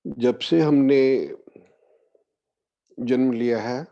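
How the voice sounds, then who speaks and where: A man guiding a meditation as a spiritual discourse, speaking in a measured way with long pauses. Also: medium pitch, fairly even intonation, creaky, no echo. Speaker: meditation guide. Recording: dull, lo-fi, dry audio.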